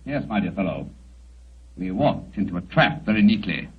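An actor playing a shrewd, unruffled British detective with a dry wit in a 1940s radio drama. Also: male voice, low voice, sing-song, normal speed, full character voice. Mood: coolly composed. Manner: crisp, wry, urbane. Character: shrewd, unruffled English bachelor detective, dryly witty. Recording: dull, noisy old 1940s radio recording.

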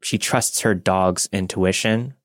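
Male co-host, low register, casual musing delivery, very dry sound. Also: steady tone, slow, no echo. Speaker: podcast co-host. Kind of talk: casual reflective chat, reading listener stories.

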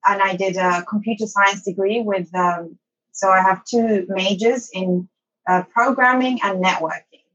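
A woman's voice: mid-range voice, steady tone, unhurried, a bit bright, slightly rough, dull audio. A woman from Somalia who moved to London, chatting informally as an interview guest and telling her own story. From born in Somalia, moved as a child to Saudi Arabia, then London. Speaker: interview guest, online English teacher. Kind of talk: casual personal storytelling in an interview.

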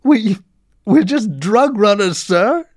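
A man's voice: a little high, big pitch swings, a little fast, dry recording, clean studio sound, voice pushed up. A man voicing a nervous, tearful mole drug runner in a story, stammering and pleading. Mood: distraught, tearful. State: sobbing.

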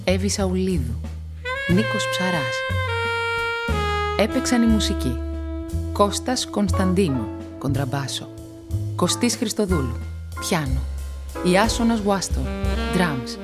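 A woman announces radio drama credits in a slightly low voice. Loud jazz with saxophone plays behind her scripted read. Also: expressive, long pauses. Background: jazz music with saxophone.

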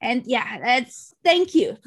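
A woman hosts an interview with a high voice and a slow pace. She sounds enthusiastic and fills her speech with "you know". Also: very animated. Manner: enthusiastic, filler-heavy. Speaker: interviewer, host. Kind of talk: conversational interview.

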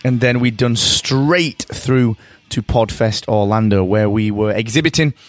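Upbeat British man with a croaky voice. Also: fairly low pitch, unhurried, no room sound. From Britain. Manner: upbeat, enthusiastic, with frequent repeats. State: slightly croaky voice. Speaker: podcast host. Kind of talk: solo podcast monologue. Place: hotel room.

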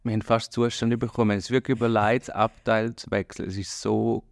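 A man's voice: lowish voice, level tone, brisk, gravelly, no echo, studio quality. A man in his late forties with a Swiss German accent, a guest on a podcast, speaking hesitantly and thoughtfully with many fillers. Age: 40s. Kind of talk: casual chat.

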